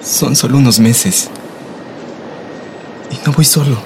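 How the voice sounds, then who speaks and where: A man's voice: medium pitch, takes it slowly, background noise. An actor playing a tender, reassuring young Mexican migrant saying goodbye to his sweetheart in a radio drama.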